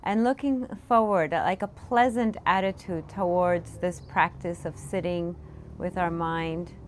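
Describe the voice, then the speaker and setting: A woman meditation teacher leading a guided meditation, giving gentle, unscripted instructions with pauses. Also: lowish voice, fairly even intonation. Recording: clear background noise, room ambience. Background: room ambience, children in the background.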